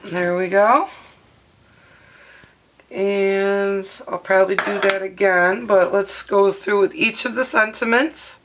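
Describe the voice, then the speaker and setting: A hoarse woman in her thirties, her voice partly lost, chatting casually with many fillers as she talks through a craft tutorial at her home studio desk. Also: medium pitch, fairly even intonation, slow pace, a bit bright, a little hiss or hum, poor audio. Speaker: craft video host. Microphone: overhead camera mic, speaker off-frame.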